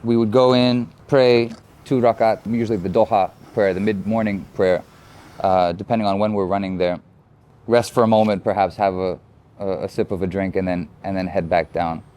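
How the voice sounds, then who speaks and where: An American man speaking reflectively in a documentary interview, measured and thoughtful, often saying "you know". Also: on the low side, fairly even intonation, dark tone. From United States.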